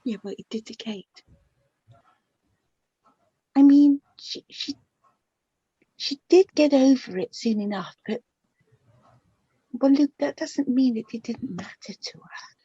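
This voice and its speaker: A woman's voice: high voice, warm tone, breathy edge, dry recording, exaggerated voice. An actress playing a chatty, affectionate middle-aged mother of the bride, slightly flustered and hesitant, in a radio play. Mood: gently probing. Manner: hesitant, halting, chatty, with small nervous repetitions. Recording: dull lo-fi, slightly muffled recording.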